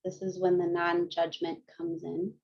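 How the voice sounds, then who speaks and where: A woman's voice: fairly low pitch, completely flat delivery, a little slow, gravelly, lo-fi sound, a little hiss or hum. A woman teaching mindfulness to a group on a video call, chatty and self-deprecating, laughing often and speeding up when she's nervous. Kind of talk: guiding a group session over video.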